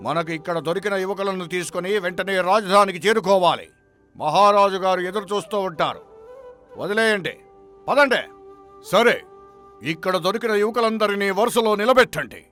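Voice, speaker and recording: A man's voice: high voice, normal speed, a bit bright, faint music behind. A man playing a stern, commanding king's soldier in a folk story, speaking with formal authority. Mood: brisk, decisive. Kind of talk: moral-story dramatization.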